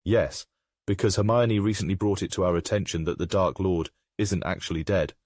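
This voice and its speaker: A man playing a grave, remorseful aristocratic father in a comic dub, speaking in a formal, measured way. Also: low voice, fairly even intonation, darkish tone, no room sound. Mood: solemn, remorseful.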